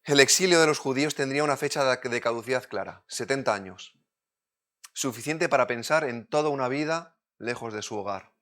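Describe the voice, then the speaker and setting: Man, Madrid Spanish accent, preacher, sermon, emphatic, loud then hushed. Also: medium pitch, fairly quick. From Spain. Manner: emphatic, shifts between loud urgent points and quiet intimate asides.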